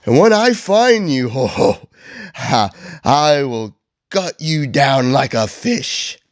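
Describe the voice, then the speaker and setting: A man's voice: fairly low pitch, little pitch movement, slow pace, vocal fry, no echo, studio quality. Man putting on a huffy, boastful green monster. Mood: indignant, menacing. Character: grumpy, boastful, indignant big green hairy male monster.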